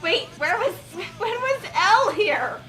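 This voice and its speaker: A woman's voice: very high-pitched, very animated, takes it slowly. A woman reading a story aloud dramatically and bantering jokingly with friends. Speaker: co-host reading fan fiction aloud.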